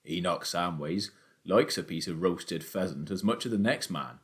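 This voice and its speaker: A proud, calm father who speaks in a low, steady voice, as a male narrator acts him. He sounds gentle and sure of himself. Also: normal speed.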